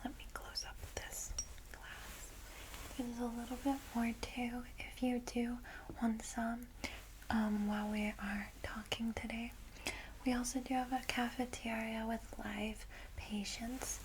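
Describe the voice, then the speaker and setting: Gentle female vampire guide, acted by a woman: soft ASMR whisper, lightish pitch, soothing and unhurried, audible background noise. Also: flat delivery, natural voice, poor audio. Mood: gentle, encouraging. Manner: reassuring, unhurried, hushed. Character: gentle, welcoming female vampire guiding a newcomer.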